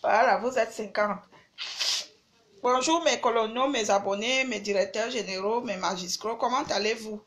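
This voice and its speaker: A woman's voice: fairly high pitch, normal speed, fairly bright tone, a little hiss or hum. An older woman from Cameroon with a cold, hosting a livestream and lecturing her followers emphatically. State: has a cold. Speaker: livestream host. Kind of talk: live video chat with followers.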